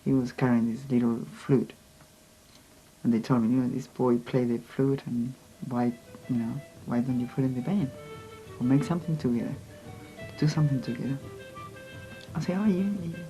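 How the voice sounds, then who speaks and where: A man with a Spanish accent, a native Spanish speaker and immigrant musician, speaking earnestly and haltingly in a documentary interview. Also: mid-range voice, dark tone, faint music behind, poor audio.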